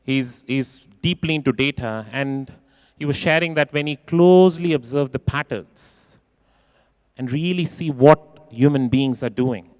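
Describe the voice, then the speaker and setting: A man giving a keynote talk in a large conference hall, expressive and acting out quoted voices, repeating phrases for emphasis. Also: mid-range voice, measured pace. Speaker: keynote speaker.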